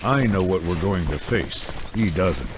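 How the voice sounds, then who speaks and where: An actor playing a cynical, self-preserving scout in a fantasy audiobook, wry and defiant. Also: male voice, deep voice, expressive, a little slow, noisy background. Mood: grim, defiant. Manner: wry, defiant, plain-spoken. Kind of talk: dramatised fantasy audiobook acting.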